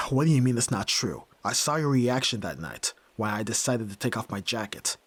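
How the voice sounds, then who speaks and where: Man acting as husky, brooding young yakuza heir. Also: mid-range voice. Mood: bitter, agitated. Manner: brooding, curt, pushing the listener away. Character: brusque, tormented young male nerd, reluctant yakuza heir. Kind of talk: dramatic ASMR audio roleplay.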